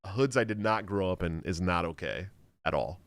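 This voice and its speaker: A man's voice: deep voice, expressive, moderate pace, clear, resonant tone, no echo. A man hosting a livestream, reading donations in a laid-back, profane way. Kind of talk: casual livestream chat.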